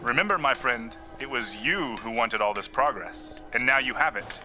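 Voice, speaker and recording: A man playing an urbane, inhuman immortal gentleman agent in an audio drama, dry, wordy and needling. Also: mid-range voice, expressive, normal speed, music in the background, lightly acted. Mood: teasing. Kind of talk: scripted audio drama acting.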